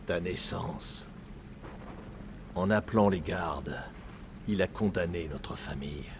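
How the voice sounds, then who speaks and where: Deep, slow, grave older warrior. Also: male voice, noisy background. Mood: bitter, grave. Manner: solemn, measured. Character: Darius, weary older Persian warrior father, grave and haunted. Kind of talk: dramatic video game acting, French dub.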